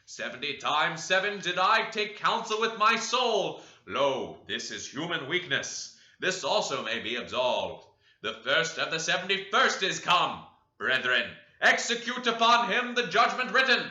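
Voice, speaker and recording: A man's voice: on the high side, sing-song, unhurried, sharp tone, reverberant, muffled recording, pitched above the performer's own voice, full character voice. A man reading an audiobook, voicing a fiery old preacher who declaims judgment in solemn, archaic sermon style. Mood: thundering.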